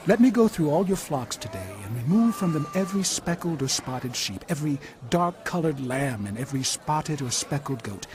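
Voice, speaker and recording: An actor playing an earnest, determined shepherd patriarch in an audio Bible drama. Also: male voice, a little high, sing-song, normal speed, hardly any pauses. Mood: earnest, insistent. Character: hardworking, determined shepherd patriarch Jacob, bargaining with his father-in-law.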